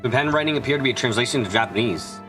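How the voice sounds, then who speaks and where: A man reading a visual novel aloud as an expressive fan dub, narrating and voicing dialogue with the odd stumble. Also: medium pitch, racing, music in the background, poor audio.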